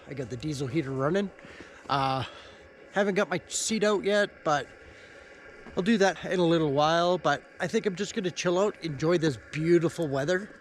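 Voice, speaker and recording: A man's voice: on the high side, a little hiss or hum. A man with a stuffy, nasal voice from a head cold, chatting casually to camera outdoors at a campsite. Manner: relaxed, rambling, with fillers and restarts. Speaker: vlogger. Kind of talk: casual vlog talk to camera.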